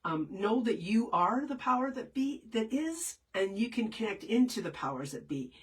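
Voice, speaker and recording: A woman's voice: fairly high pitch, big pitch swings, normal speed, far from the mic. A woman with a head cold, answering viewer questions as a spiritual teacher in a casual, meandering live session. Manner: meandering, many fillers and restarts.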